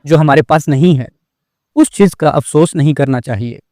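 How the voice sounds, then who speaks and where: Earnest, higher-voiced humbled deer played by a man. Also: normal speed, dark tone, no echo, studio quality, lightly acted. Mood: remorseful. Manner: earnest, apologetic. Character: humbled, remorseful young male deer in a moral cartoon. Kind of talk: Hindi cartoon dubbing.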